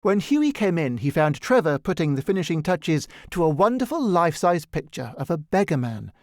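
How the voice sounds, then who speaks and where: Guy reading a short story audiobook, slightly high voice, lots of expression, really dry close sound. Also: big pitch swings, moderate pace, no room sound. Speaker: audiobook narrator. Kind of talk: scripted literary narration.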